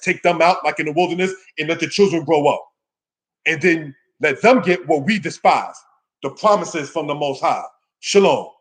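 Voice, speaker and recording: A man with a somewhat high voice gives an informal Bible lesson. He speaks as a teacher to his congregation, exhorting them emphatically and putting rhetorical questions to them. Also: slightly rough. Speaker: religious teacher giving a scripture lesson.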